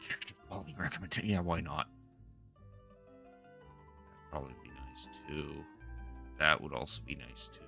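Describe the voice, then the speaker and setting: A man streaming a video game with casual commentary, halting and repeating his phrases as he talks half to himself. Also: extremely low pitch, creaky, pushed and bright. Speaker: game livestreamer. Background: game music.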